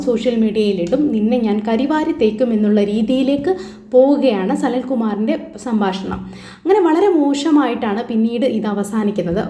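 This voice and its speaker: A woman commentator speaks in a fairly high voice. She talks informally to her viewers, explaining and commenting on a news story, and the room around her is noticeably echoey. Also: warm tone. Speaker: YouTube commentator and host. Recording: echoey, roomy voice-over.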